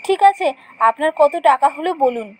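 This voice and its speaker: Woman playing very high, excitable young woman. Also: a little fast. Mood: eager. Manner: exclamatory, earnest. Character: excitable, frightened young woman travelling at night. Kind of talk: animated horror story acting.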